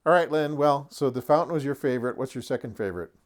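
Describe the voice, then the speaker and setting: A man's voice: mid-range voice, fast. Man casually presenting a product showcase. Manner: chatty, folksy, lightly humorous asides. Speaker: host of a tabletop wargaming terrain review.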